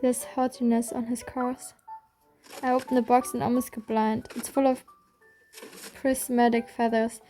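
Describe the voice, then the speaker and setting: A woman reading a game's story aloud in a steady first-person voice. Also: fairly high pitch, measured pace, long pauses, faint music behind. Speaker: reader.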